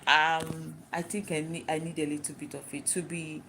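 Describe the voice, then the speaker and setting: Nigerian woman vlogger with an Igbo first language, low-pitched voice, casual and talkative, walks through her reasons point by point. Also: flat delivery, moderate pace, noisy background. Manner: chatty and explanatory, asks herself questions and answers them. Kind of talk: casual talk to camera.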